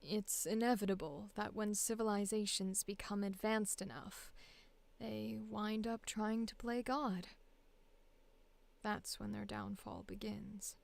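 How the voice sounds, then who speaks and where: A woman's voice: medium pitch, steady tone, gravelly. A woman voicing a cold, condescending villain. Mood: cold, menacing. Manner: slow, commanding, theatrical delivery.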